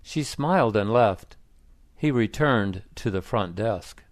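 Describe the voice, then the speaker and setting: A man narrating short stories in a plain, even reading style for learners. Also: lowish voice, slow, no echo. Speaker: story reader.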